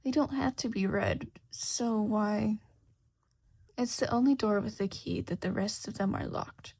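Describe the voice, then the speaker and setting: A woman's voice: mid-range voice, unhurried, a little mellow, husky. A woman reading a fan fiction story aloud as scripted narration. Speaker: podfic reader.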